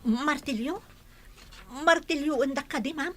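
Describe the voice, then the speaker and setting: A woman's voice: high voice, a little slow. A woman acting a nosy, indignant housemaid in a comedy radio drama, with an Ilocano Filipino accent. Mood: questioning, reluctant. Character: nosy, indignant housemaid, loyal to her employer.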